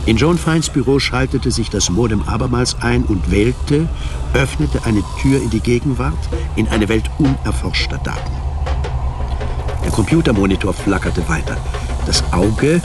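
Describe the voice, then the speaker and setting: A man's voice: mid-range voice, expressive, moderate pace. Male radio drama narrator over noisy ambience.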